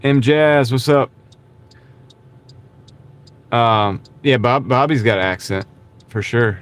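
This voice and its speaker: A man's voice: mid-range voice, slow. A man chatting casually with viewers on a livestream while driving for deliveries, greeting people and chuckling often. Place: inside a car on the road. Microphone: small wireless clip-on mic. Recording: car road noise in background.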